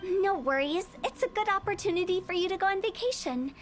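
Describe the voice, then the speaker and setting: A woman's voice: very high voice, animated, brisk, over music. A woman voicing a playful, eccentric young funeral parlor director in a video game, with a mischievous, theatrical and breezily morbid manner. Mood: breezy, businesslike.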